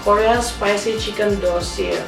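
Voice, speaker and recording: A woman's voice: mid-range voice, steady tone, unhurried, vocal fry. A young woman hosting a casual podcast interview, chatty, slipping English phrases into her talk. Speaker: podcast host. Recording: echoey room, mic at a distance, music behind.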